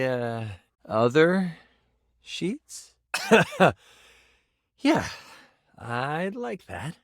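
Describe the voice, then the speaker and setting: An earnest, nerdy game master in a video game. The voice is fairly high and lively, theatrical in delivery, with a dry, close sound. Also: male voice, dry recording, full character voice. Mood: excited, shy. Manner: theatrical, earnest, awkwardly gushing. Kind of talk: dramatic tabletop game narration and chat.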